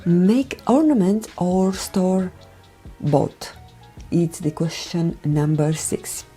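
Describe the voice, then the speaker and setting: A woman from Romania with a Romanian accent, speaking a gentle, careful voiceover for her makeup tutorial, often dropping near a whisper. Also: on the low side, expressive, slow. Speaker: beauty vlogger. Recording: voiceover with background music.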